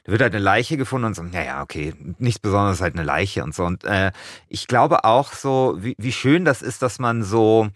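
A man's voice: fairly low pitch, expressive, moderate pace, no room sound, studio quality. A man co-hosting a podcast, chatting casually and analytically in an enthusiastic, wordy way. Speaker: podcast co-host.

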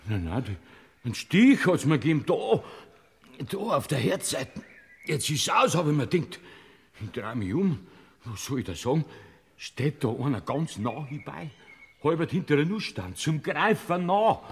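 An actor with a Bavarian accent playing a sly, wheedling old poacher in a classic radio play, with a husky, lively voice. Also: male voice, fairly high pitch, normal speed, exaggerated voice. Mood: shaken, telling.

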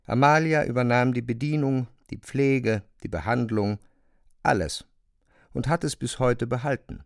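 An actor playing a sober narrator of a family's decline, measured and matter-of-fact in a literary radio drama. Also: male voice, mid-range voice, creaky, no room sound, studio quality.

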